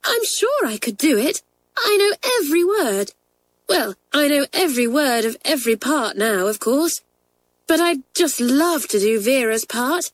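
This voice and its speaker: A woman's voice: high-pitched, very animated, a little slow, no room sound, voice pushed up. A woman doing a shy, eager schoolgirl.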